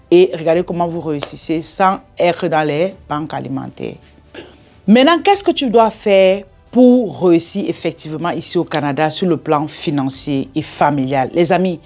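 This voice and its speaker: Female advice vlogger, African French accent, somewhat low register, emphatic and instructive, faint music underneath. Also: darkish tone. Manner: emphatic and instructive, rhetorical questions and check-ins. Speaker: business coach and video host. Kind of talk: direct-to-audience advice vlog.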